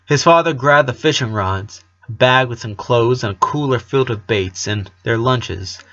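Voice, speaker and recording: Man, mid-pitched voice, amateur storybook narration, expressive, occasional stumbles, muffled lo-fi recording. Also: moderate pace. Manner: expressive, with stumbles and self-corrections. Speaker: amateur audiobook reader. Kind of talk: storybook narration with acted dialogue.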